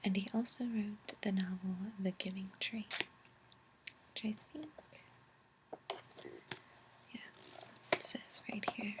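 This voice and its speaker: A woman speaking softly in a breathy near-whisper, gently and unhurriedly, as an ASMR host reading and chatting. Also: medium pitch, steady tone, slow, long pauses, heavy background noise. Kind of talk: soft-spoken ASMR reading and chat. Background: page turning, tapping, clicks.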